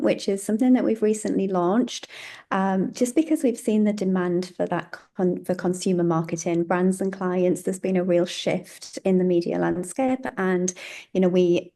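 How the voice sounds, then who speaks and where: A woman's voice: mid-range voice, vocal fry, mellow. A woman guest on a podcast, chatty and friendly, with frequent um fillers as she explains her work. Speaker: guest, marketing director. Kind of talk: podcast interview.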